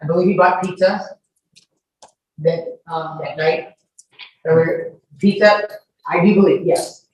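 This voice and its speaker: A woman's voice: fairly low pitch, fairly even intonation, unhurried, pauses often, a little mellow, highs cut off. A woman giving informal, wordy, insistent answers as a witness under questioning in court. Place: echoey courtroom, far from the mic. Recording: echoey, distant courtroom audio with faint noise.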